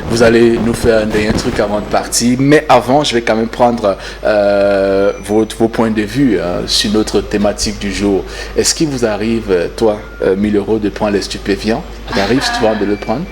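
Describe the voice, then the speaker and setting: A man's voice: lowish voice, fairly even intonation, normal speed, hardly any pauses, slight background noise. A man with a Cameroonian French accent hosting a casual live talk show, hesitant and teasing, with many fillers. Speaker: host.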